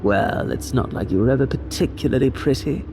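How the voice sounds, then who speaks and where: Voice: medium pitch, lively intonation, brisk, music in the background, close to an everyday voice. An actor playing a vain, mocking god of vanity in a fantasy audio drama, with a taunting, theatrical delivery.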